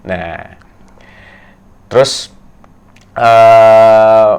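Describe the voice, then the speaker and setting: A young man from Tegal, a guest in a casual interview, talking in a soft, halting way with many fillers. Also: on the low side, fairly even intonation, smooth. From Tegal, Central Java, Indonesia. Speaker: guest actor.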